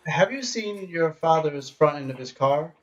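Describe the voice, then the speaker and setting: A man's voice: fairly high pitch, animated, normal speed, lightly acted. An actor playing an earnest, hesitant young country man in a period radio drama, recorded with slightly dull live sound. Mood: concerned, hesitant.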